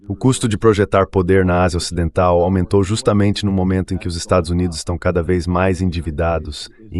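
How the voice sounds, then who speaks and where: A low-pitched man gives political analysis as an interview guest. His speech is a translated voice-over of geopolitical commentary, delivered in a deep register. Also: a little mellow.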